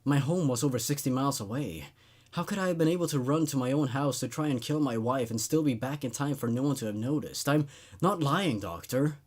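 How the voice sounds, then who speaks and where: A man's voice: mid-range voice, animated, a little fast, darkish tone, close to an everyday voice. A man voicing a tormented, unhinged male patient in a horror story, intense, strained and accusatory. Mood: desperate.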